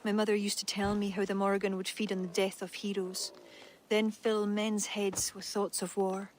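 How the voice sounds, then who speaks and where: An actress playing a thoughtful young Irish poet in a video game, wistful and earnest. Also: female voice, mid-range voice, level tone, normal speed, a little hiss or hum, close to an everyday voice. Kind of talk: video game cutscene acting.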